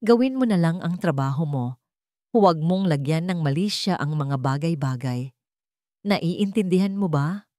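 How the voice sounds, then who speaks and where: A woman's voice: low voice, expressive, normal speed, dark tone, no echo. A woman narrator plays a rich father and master of the house in a narrated drama. He is stern and dismissive, giving firm orders to his maid. The sound is close and dry. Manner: firm, commanding.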